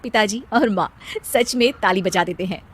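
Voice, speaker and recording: A woman's voice: medium pitch, moderate pace, husky. A woman narrating a scripted children's story.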